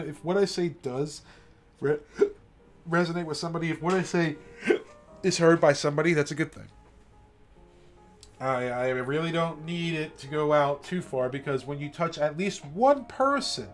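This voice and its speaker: A man streaming late at night, talking passionately and emphatically about his views, often tagging points with "okay?". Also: fairly high pitch. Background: faint classical music.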